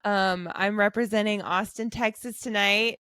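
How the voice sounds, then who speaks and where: A woman's voice: mid-range voice, steady tone, normal speed, sharp tone, smooth, no room sound, studio quality. A woman hosting a podcast in a casual chat, teasing her guests and laughing often. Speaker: podcast host.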